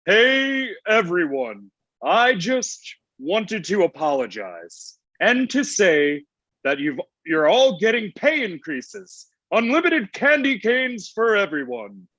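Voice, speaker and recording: A man's voice: high-pitched, big pitch swings, slow, pushed and bright, no echo, heavily acted. A man playing a sulky, lovesick old Santa Claus in a comedic table read. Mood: sheepish. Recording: dull lo-fi online call.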